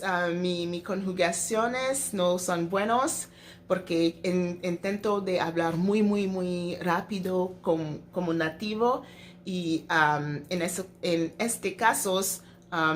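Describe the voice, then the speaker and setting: A woman's voice: fairly low pitch, a little hiss or hum. A young woman vlogger talking casually to camera, hesitant, with many fillers and restarts, speaking as a native English speaker who learned the language later. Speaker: travel vlogger.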